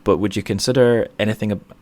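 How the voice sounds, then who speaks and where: Guy interviewing on a podcast, low pitched, casual, kinda tentative and keeps rewording himself. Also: fairly even intonation, quick pace, darkish tone. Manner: tentative, self-correcting.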